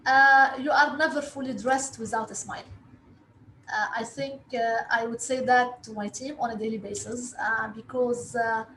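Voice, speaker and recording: Interview guest: woman from Lebanon, native Arabic speaker, highish voice, halting delivery, roomy echoing sound. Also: steady tone, fairly bright tone. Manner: halting, hesitant delivery with frequent long filled pauses. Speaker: interview guest, investor relations director. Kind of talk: interview answers. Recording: echoey room with faint background noise.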